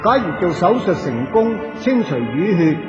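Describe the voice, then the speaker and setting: An actor with a Hong Kong Cantonese accent playing a cheeky, chatty, poor wandering soul in a radio drama, colloquial, playful and coaxing. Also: male voice, high-pitched, very animated, normal speed, music in the background. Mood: complaining, chatty. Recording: dull echoey audio with long reverb.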